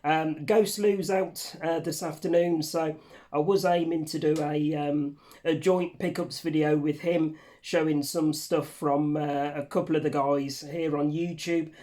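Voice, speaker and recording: A man talks to camera in a raised, croaky voice, hoarse and worn from a cold. He chats in a loose, rambling way and swears casually. He is recorded indoors at home under a ring light. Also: on the high side, fairly even intonation, measured pace, darkish tone. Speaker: channel host. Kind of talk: casual vlog chat. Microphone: facing camera under a ring light.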